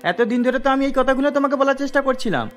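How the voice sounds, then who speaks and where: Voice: high voice, animated, rapid-fire, soft background music. A performer playing a flustered, protesting young husband in a cartoon melodrama. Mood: anxious, protesting.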